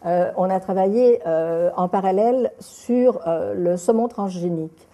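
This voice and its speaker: A middle-aged woman, a documentary filmmaker answering an interview, pausing often on filler hesitations. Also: medium pitch, warm tone. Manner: halting, many filler hesitations.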